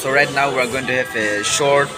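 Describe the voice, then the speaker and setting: A man's voice: medium pitch, steady tone, a little fast, over loud music. A young man chatting casually and jokingly into a phone held at arm's length, rambling with lots of fillers. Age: young adult. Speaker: vlogger. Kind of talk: casual vlog chat. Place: car, then a restaurant.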